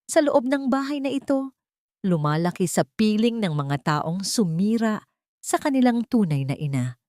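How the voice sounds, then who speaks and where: A woman's voice: medium pitch, expressive, normal speed, darkish tone, no echo, studio quality. A woman narrating a scripted romance drama in a dramatic storytelling style.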